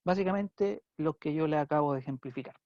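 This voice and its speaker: A man's voice: a little high, a little fast, dry recording, dull audio. A male teacher with a Chilean Spanish accent giving an online civics class, chatty and checking in often with his students. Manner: conversational, checks in with frequent "¿ya?".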